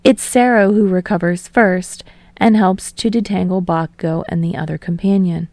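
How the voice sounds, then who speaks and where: Dry, close-sounding woman story narrator, slightly creaky. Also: lowish voice, fairly even intonation, normal speed, darkish tone, dry recording. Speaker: audiobook fiction reader.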